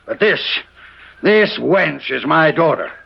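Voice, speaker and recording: A man's voice: fairly high pitch, lively intonation, slow, creaky, slight background noise, full character voice. An actor playing a stern, bearded old settler father who whips his daughter, speaking in an archaic, preaching way in an old radio drama. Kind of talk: 1970s radio drama acting. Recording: muffled old radio recording.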